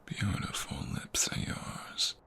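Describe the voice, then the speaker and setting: A man playing a protective, flirtatious ex-mobster husband in an intimate ASMR roleplay, tender but menacing, with breathy murmurs and a teasing manner. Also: slow pace, pushed and bright. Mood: flirtatious, fond. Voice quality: deep, gravelly, often breathy murmur. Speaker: voice actor.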